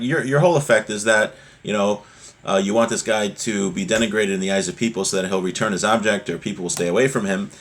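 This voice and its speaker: A man's voice: mid-range voice, slightly rough. A man giving a religious lesson, reading from a text and explaining it conversationally with rhetorical questions. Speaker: religious teacher.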